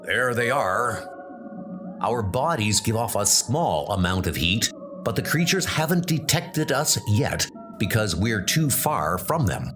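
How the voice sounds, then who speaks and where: A man's voice: fairly low pitch, sing-song, a little slow, music in the background. A man playing an earnest, commanding heroic leader with urgent, declamatory delivery in a comic-book audio drama. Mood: cautious. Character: earnest, commanding heroic male leader of post-war knights.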